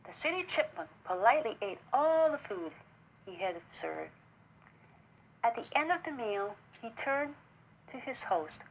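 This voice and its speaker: A woman reading a children's story aloud in an expressive narrating style. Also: on the high side, a little slow, low-quality recording. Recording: noticeable background noise.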